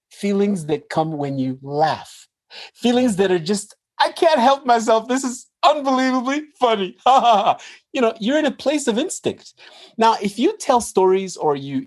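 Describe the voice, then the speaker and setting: A man from Nigeria, a podcast guest, explaining ideas in an animated way and laughing as he talks. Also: high-pitched. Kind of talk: casual interview talk.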